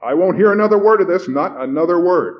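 A man's voice: medium pitch, steady tone, normal speed, close to an everyday voice. Man playing a stern, indignant middle-aged man. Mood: outraged. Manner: testy, scolding, emphatic. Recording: muffled recording with background noise.

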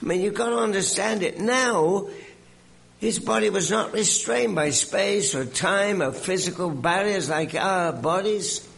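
An older man preaching a sermon in an English accent mixed with a Canadian one, telling stories with animated exclamations and repeated words. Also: sits high, unhurried, vocal fry.

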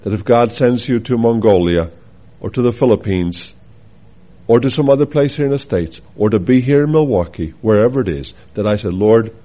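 A man's voice: lowish voice, animated, normal speed. Man, Faroese accent, preacher, earnest sermon, rhetorical questions, dull lo-fi recording. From Faroe Islands.

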